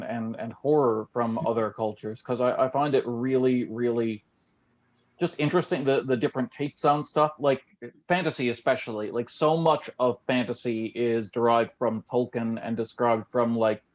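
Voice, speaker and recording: A man from Newfoundland hosting a casual interview, rambling with many restarts and fillers. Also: mid-range voice. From Newfoundland, Canada. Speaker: podcast host.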